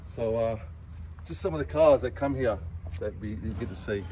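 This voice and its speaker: A man's voice: medium pitch, moderate pace, warm tone. A middle-aged man with an Australian accent, casually showing off hot rods in a car park while filming on a phone, chatty with lots of fillers. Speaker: car show presenter. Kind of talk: casual walkaround commentary. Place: outdoor car park beside a diner. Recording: outdoor phone recording with traffic noise.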